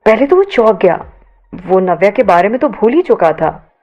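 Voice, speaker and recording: A woman's voice: mid-range voice, sing-song, normal speed, darkish tone, lo-fi sound. A woman narrating a scripted romance audio story expressively, mixing English words into her Hindi. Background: background music.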